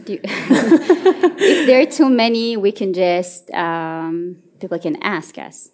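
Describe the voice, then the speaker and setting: A woman's voice: mid-range voice, slow pace, a little mellow. A woman moderating a panel discussion, wrapping up and guiding the question session with short encouraging remarks. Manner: brief, encouraging backchannels.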